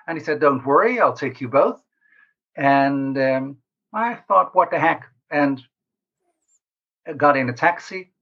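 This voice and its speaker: A man answering interview questions as a researcher, explaining in a measured way with pauses mid-sentence. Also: fairly high pitch, expressive. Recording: slightly dull lo-fi call audio.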